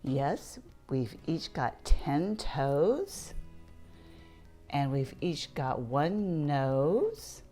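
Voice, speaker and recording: Woman, children's read-aloud storyteller: low voice with animated swings, unhurried delivery, playful and interactive, music behind. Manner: playful, asks listeners questions and points things out. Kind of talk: read-aloud picture book narration for young children.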